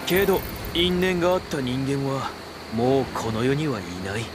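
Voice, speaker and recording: A man acting an easygoing but earnest young army commander in a Japanese video game, with casual, rough-edged speech. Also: medium pitch, animated, normal speed, natural voice. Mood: pensive. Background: background music.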